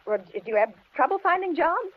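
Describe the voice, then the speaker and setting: A woman playing a frightened, placating older housewife in an old-time radio thriller, with an anxious, halting, dramatic delivery. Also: very high-pitched, big pitch swings. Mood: nervous placating. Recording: muffled, hissy vintage radio recording.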